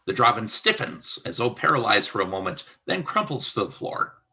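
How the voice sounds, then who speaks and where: A man serves as narrator of a scripted radio play. His mid-pitched voice is very sharp and forceful, with a raspy grain. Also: very animated, normal speed.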